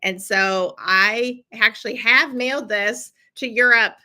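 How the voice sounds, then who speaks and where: A middle-aged woman hosting a live-stream challenge, chatting warmly with viewers and encouraging them, with lots of ums and restarts. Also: a little high, slow. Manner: chatty and encouraging, with many fillers. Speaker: podcast host running a live challenge. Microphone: streaming from a computer.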